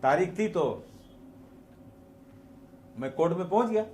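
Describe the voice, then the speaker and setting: Male religious speaker, Mumbai Hindi accent, slightly high pitch; emphatic off-the-cuff storytelling with rhetorical questions, some background noise. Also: lively intonation, measured pace, a little mellow, roomy sound. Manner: emphatic, repeats phrases and asks rhetorical questions. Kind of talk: extemporaneous religious talk with personal storytelling.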